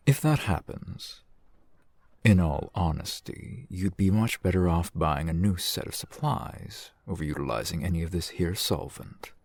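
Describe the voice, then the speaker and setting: A man playing a genial, teasing, flirtatious fantasy shopkeeper in an ASMR roleplay, unhurried and wry. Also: darkish tone, dry recording, full character voice. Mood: earnest, cautioning. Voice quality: low, gravelly, husky. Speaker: shopkeeper character in audio roleplay.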